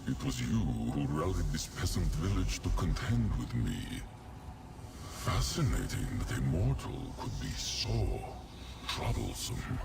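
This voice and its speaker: A booming, gravelly demon lord. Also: male voice, very animated, noisy background, heavily acted. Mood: mocking menace. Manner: mocking, slow, imperious. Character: menacing daedric prince, huge demonic male lord. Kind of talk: video game voice acting. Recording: roomy echo with loud ambience.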